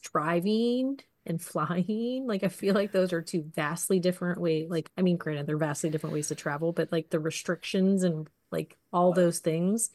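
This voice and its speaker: A woman in relaxed chat who rambles through her points and scatters "like" throughout. Her voice sits fairly low for a woman. The sound is dry and close. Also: moderate pace, few pauses, no room sound. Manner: rambling, lots of "like" fillers. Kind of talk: casual chat.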